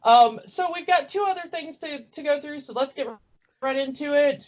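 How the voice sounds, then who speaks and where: A woman's voice: sits high, normal speed. A woman co-hosting a podcast, chatting casually about cartoons, recorded in dull lo-fi audio. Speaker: podcast co-host.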